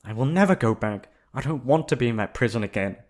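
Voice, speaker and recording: A man's voice: mid-range voice, expressive, quick pace, darkish tone, natural voice. A man voicing a young runaway princess, an upset and defiant little sister who speaks in emotional outbursts. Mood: frightened, defiant.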